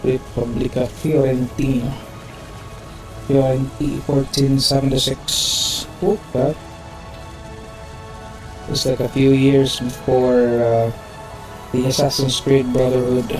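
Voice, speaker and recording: A man's voice: medium pitch, little pitch movement, takes it slowly, pauses often, music in the background. A man giving casual gameplay commentary as the host of a gaming channel. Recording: echoey room.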